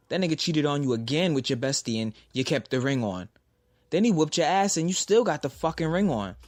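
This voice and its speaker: A man's voice: on the high side, no room sound, studio quality. A man acting a blunt, candid lover in a naturalistic audio drama about a troubled romance. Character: blunt, candid lover frustrated with married girlfriend. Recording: music behind the voice.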